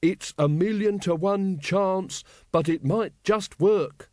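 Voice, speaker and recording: A man's voice: fairly high pitch, sing-song, moderate pace, darkish tone, no room sound, studio quality, pitched above the performer's own voice. A man voicing an earnest, virtuous young watchman who speaks respectfully, in an audiobook story. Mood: dutiful.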